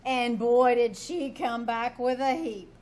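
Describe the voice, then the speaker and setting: A woman's voice: fairly high pitch, slow, a little mellow. A woman playing a brassy, sardonic, wisecracking maid in a live old-time radio comedy. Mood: breezy joking. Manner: wisecracking, slangy delivery.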